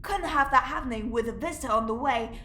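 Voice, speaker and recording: A woman's voice: high voice, fast, bright, forceful tone. A woman playing a weary, grumbling prison guard in an audio drama, curt and exasperated. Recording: echoey room.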